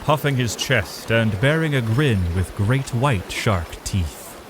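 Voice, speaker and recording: A man's voice: medium pitch, lively intonation, slow pace. A man giving dramatic scripted narration of a fantasy adventure. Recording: noisy ambience behind the voice.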